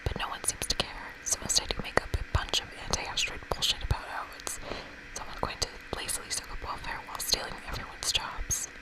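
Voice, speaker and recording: A woman's voice: a little fast, few pauses, rough recording. Whispering woman, ASMR game narration. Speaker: ASMR host reading a video game aloud.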